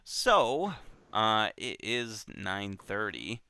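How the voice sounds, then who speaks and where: A man hosting a book club show, talking casually and unscripted in a halting way, often repeating himself. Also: lowish voice, sing-song, bright, forceful tone, clear, resonant tone, dry recording.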